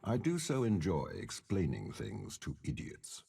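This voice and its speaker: An actor playing a cold, cunning old warlord general in a video game, gravelly and commanding, with measured, disdainful delivery. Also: male voice, low-pitched, huge pitch swings, warm tone, exaggerated voice. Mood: cold, scheming. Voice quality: gravelly, husky. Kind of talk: dramatic game character voice lines.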